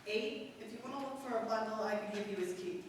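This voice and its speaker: A woman's voice: fairly high pitch, fast, lightly acted. A woman playing an obliging, offhand clerk at a down-and-out hotel in a 1950s-style radio drama, talking in a colloquial way. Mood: offhand, helpful. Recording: distant, very echoey hall with noise.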